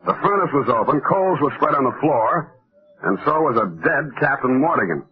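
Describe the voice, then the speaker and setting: An actor playing a wry, cynical American private detective in a deadpan 1940s radio style. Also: male voice, medium pitch, moderate pace, close to an everyday voice. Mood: dry narration. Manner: sardonic, deadpan. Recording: thin, muffled vintage radio recording.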